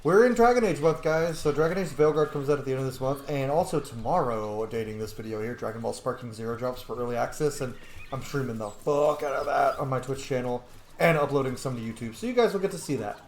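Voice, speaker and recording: A man's voice: medium pitch, fast. A man hosting a gameplay video with casual, rambling, sweary commentary and a sardonic edge. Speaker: video game streamer and host. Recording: roomy, faint background noise.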